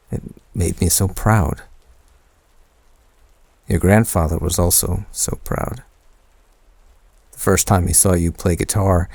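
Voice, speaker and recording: A man playing a shy, sentimental young man in an intimate boyfriend audio roleplay, speaking hesitantly and teasingly with a creaky voice. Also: sits low, dry recording, heavily acted. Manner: hesitant, soft-spoken teasing, stammering.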